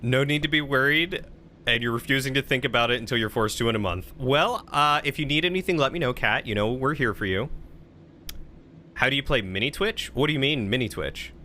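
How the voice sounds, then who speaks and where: Bright-voiced man streaming games, chatty. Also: mid-range voice, brisk, slight background noise. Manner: chatty, informal, playful. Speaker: live game streamer. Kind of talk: casual gameplay commentary and chat.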